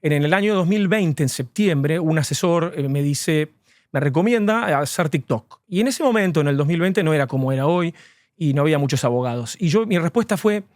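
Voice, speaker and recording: A man's voice: fairly high pitch, animated, a little fast, no echo, clean studio sound. A man, an immigration lawyer, answering interview questions earnestly and at length, with frequent fillers.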